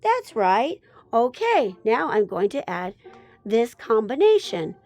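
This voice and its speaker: A woman's voice: a little high, big pitch swings, slow, a little mellow, soft background music, heavily acted. A woman voicing a kind, patient little boy who teaches in an encouraging way in a children's phonics story.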